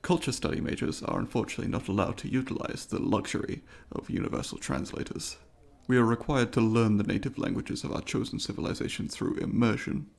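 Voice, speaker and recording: A man's voice: fairly low pitch, fairly quick, vocal fry, few pauses. A man voicing a polite, eager alien student in an ASMR roleplay, speaking formally and precisely to the listener in an inquisitive, apologetic way. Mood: polite, curious.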